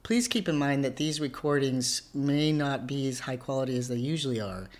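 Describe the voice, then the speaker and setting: A podcast host speaking clearly, reading the opening and prompting guests. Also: mid-range voice, expressive, moderate pace. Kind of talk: podcast hosting.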